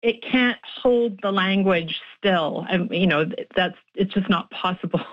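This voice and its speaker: A woman's voice: mid-range voice, unhurried, highs cut off. A woman professor of linguistics answering radio interview questions in a thoughtful, hesitant way, often restarting her sentences.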